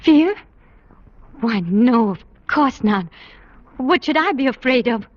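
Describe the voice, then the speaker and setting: An actress playing a gentle, sheltered young woman who guards a hidden fear, earnest and soft-spoken in an old radio drama. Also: fairly high pitch, expressive, slow. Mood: guarded. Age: young adult. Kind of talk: 1940s-50s radio drama acting. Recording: muffled vintage radio with faint noise.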